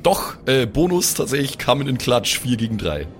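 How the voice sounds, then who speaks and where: A man's voice: mid-range voice, sing-song, normal speed, slight background noise. A man chatting casually and colloquially as he plays an improvised tabletop role-playing game. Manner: colloquial, slangy.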